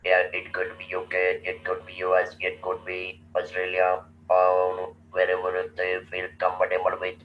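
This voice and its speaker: A man, telling his own story slowly and deliberately as a guest, in a flat, low monotone voice. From India. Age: 60s. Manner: slow, deliberate, halting with restarts. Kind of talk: slow personal storytelling. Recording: roomy, distant, muffled with faint noise.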